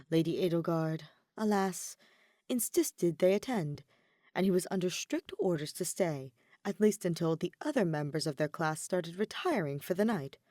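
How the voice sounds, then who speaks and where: A woman narrating a story in an expressive audiobook style. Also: fairly low pitch, no room sound, clean studio sound. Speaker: podfic reader, narrator.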